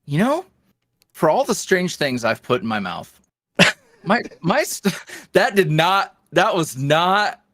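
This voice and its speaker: A man's voice: high-pitched, big pitch swings, takes it slowly, a bit bright, dry recording, clean studio sound. A man chatting casually as a podcast guest, in a folksy, self-deprecating and jokey manner. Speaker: interview guest, online audio roleplay creator.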